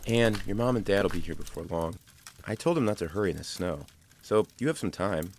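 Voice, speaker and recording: A protective, level-headed family dad in an audio drama, played by a man. His voice sits low and moves lively up and down, steady and reassuring. Steady noise runs behind him. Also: brisk. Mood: gentle. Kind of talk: amateur audio drama acting. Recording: noisy background.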